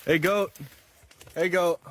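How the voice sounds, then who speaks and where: Man, campsite vlog, slow tired soft delivery, fairly high voice. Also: steady tone. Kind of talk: vlog talking to camera. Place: outdoors at a campsite.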